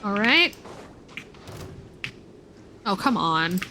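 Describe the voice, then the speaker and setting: A woman livestreaming a video game, talking casually and explaining things in a thoughtful, halting way. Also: medium pitch, sing-song, pushed and bright, a little hiss or hum. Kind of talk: casual game stream commentary and read-aloud. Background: music.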